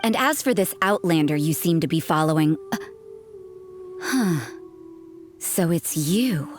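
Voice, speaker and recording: A low, dry, sardonic nun. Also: female voice, animated, measured pace. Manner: dry, cutting, suspicious and dismissive. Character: cool, sardonic adult woman church sister. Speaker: church sister. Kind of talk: video game voice acting.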